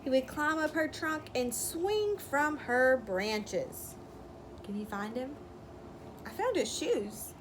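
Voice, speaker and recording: A woman teacher reading a picture book aloud to young children, pausing to explain words simply and ask questions. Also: high voice, animated. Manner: instructive, explains words simply, checks understanding. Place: outdoors. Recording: clearly noisy outdoor ambience, wind chime. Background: birds chirping, wind chime.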